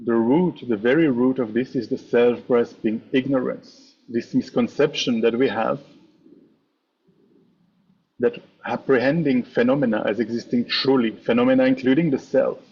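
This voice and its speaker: A man leading a guided meditation as a teacher, speaking in measured, instructive short phrases with pauses. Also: medium pitch, slightly rough, muffled recording. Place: online meditation session over video call.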